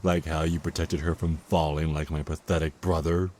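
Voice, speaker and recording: An actor playing a cruel, contemptuous Archangel of Death in an audio drama, with a mocking, theatrical delivery. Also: male voice, rumbling low, flat delivery, moderate pace, darkish tone, slight background noise. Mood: mocking, gloating. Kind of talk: dramatic villain monologue in audio roleplay.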